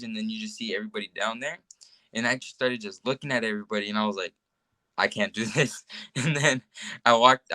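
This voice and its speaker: A man's voice: medium pitch, bright, forceful tone, dry recording. A teenage boy guest from the United States telling personal stories casually in a rambling way, saying "like" very often. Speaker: guest, young social media influencer.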